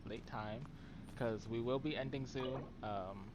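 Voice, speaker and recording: A man streaming a game live, chatting in a very soft, mild voice with plenty of ums and trailing words. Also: medium pitch, measured pace, noisy background. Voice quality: very soft voice that does not carry.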